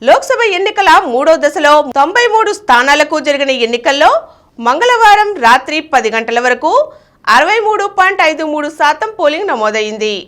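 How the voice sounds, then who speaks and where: A woman news reader with a high voice and lively, swinging intonation. She reads scripted bulletins formally and enunciates each phrase clearly. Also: a little fast.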